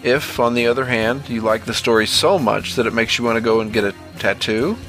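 A man's voice: medium pitch, fairly quick, a bit bright, soft background music. A man reading scripted narration as the host and narrator of a horror fiction podcast.

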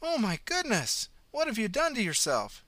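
A man voicing a mischievous alien inside an old woman, in a high, sing-song, cackling way. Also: wildly swooping pitch, normal speed, pushed and bright, exaggerated voice.

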